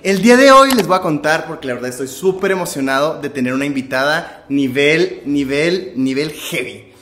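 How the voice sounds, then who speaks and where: A man's voice: on the high side, expressive, normal speed, a bit bright, echoey room. A young man with a northern Mexican accent hosting a casual talk show, upbeat and slangy. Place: living room, indoors. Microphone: stand mic on coffee table.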